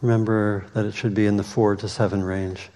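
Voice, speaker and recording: A man leading a guided meditation as the teacher, speaking in a measured way with long pauses. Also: lowish voice, level tone, dark tone. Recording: long reverberant hall echo.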